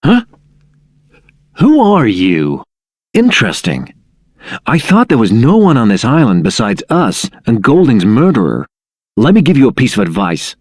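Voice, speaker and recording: Husky wary game adventurer, thoughtful, expressive swings, dry close recording. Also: male voice, medium pitch, unhurried, long pauses, dry recording. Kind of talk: video game character acting.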